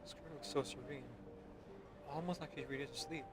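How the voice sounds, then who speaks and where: A man's voice: on the high side, steady tone, moderate pace. A man commenting on a video game playthrough in a musing, conversational way. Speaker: game streamer. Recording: loud game music behind voice. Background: loud game music.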